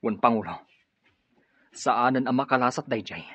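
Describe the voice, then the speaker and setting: A man's voice: medium pitch, normal speed, pushed and bright, breathy edge, dry recording. A man with a Filipino accent playing a ruthless, sneering rebel henchman in a radio drama. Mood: reporting, subdued. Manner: taunting, threatening. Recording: muffled lo-fi radio drama audio.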